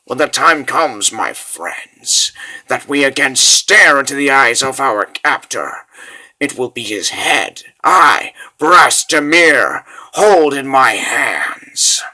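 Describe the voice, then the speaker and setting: A man voicing a menacing, vengeful monk villain in a comic dub, speaking in a solemn, grandiose and deliberate way. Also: medium pitch, lively intonation, bright, forceful tone, exaggerated voice, rough recording.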